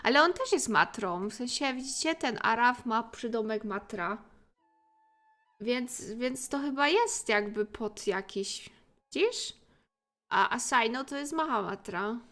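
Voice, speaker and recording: A woman's voice: a little high, lively intonation, moderate pace, a bit bright. A Polish-accented woman streamer giving casual, chatty commentary over a game. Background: game music.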